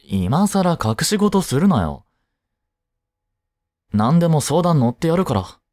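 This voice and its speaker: A man acts out an easygoing, caring teenage boy in a Japanese romantic audio drama. His casual, reassuring talk is slow and unhurried, with widely moving intonation. It sounds close and dry, as if spoken straight to his childhood friend. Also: a little high, big pitch swings, darkish tone, no room sound. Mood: concerned, supportive.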